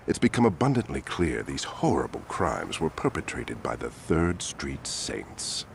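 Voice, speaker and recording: An actor playing a smug, scheming male politician villain in a video game cutscene, smooth and condescending. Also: deep voice, a little mellow, slight background noise, full character voice. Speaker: corrupt politician.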